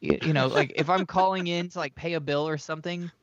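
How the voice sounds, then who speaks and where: A man with a high-pitched voice co-hosting a podcast in easy, casual chat. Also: quick pace, dry recording, dull audio. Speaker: podcast co-host.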